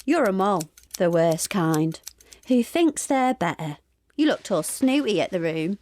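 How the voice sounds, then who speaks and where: A woman's voice: medium pitch, big pitch swings, a little slow, a little mellow. A woman playing a cheeky, coarse young bully in a period audio drama, with a working-class English accent and a mocking manner. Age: young adult. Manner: mocking, brash. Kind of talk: period radio drama acting.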